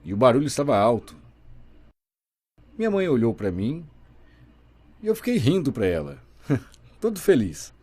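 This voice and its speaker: A man voicing a deaf guest's signing in the first person, telling his story in a lively, expressive way. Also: medium pitch, normal speed, pauses often, slightly rough. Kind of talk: simultaneous voice interpreting, first person.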